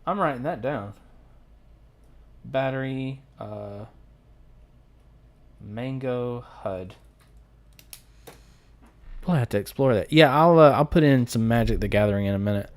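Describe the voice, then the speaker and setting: A man's voice: mid-range voice, a little slow, pauses often. A man with a Southern American accent chatting informally and jokingly on a live stream as he answers comments. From United States.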